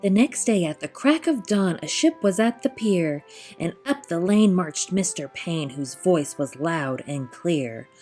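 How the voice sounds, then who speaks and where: A woman storyteller reading a rhyming children's picture book aloud, expressively, in measured rhythmic verse. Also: fairly low pitch, expressive, dark tone, soft background music.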